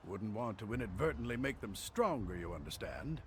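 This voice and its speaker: A man's voice: fairly low pitch, very animated, a little fast, faint music behind. A man voicing an anxious, bookish alchemist in a video game, earnest and scholarly but cowardly. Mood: scholarly, urgent.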